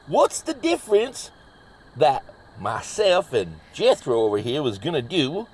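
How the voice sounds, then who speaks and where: A man's voice: on the high side, huge pitch swings, unhurried, a little mellow, slightly rough, exaggerated voice. A man acting a cocky, folksy backwoods monster hunter in a comedy horror audio drama, rambling in a drawl with mangled grammar. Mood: needling.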